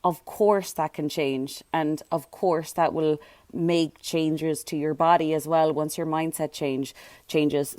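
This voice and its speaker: Hoarse, chatty woman podcaster. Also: deep voice, darkish tone, dry recording. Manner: chatty, rambling, lots of fillers and tangents. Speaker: podcast host. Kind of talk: casual solo podcast chat.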